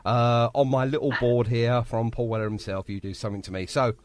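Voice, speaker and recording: A man's voice: medium pitch, flat delivery, brisk, crowd noise. A man hosting a casual, jokey radio interview, effusive and often repeating himself. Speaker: radio show host.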